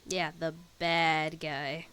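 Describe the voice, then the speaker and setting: Offhand lower-pitched woman gamer. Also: little pitch movement, extremely slow, fairly bright tone. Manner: informal, offhand, talks to herself. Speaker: gamer reacting to a story quest. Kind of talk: casual gameplay commentary.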